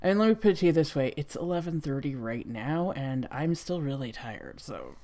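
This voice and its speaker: A woman with a low voice gives casual, unscripted reaction commentary. She speaks off the cuff as a host, dropping wry and skeptical asides. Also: sing-song, moderate pace, mellow.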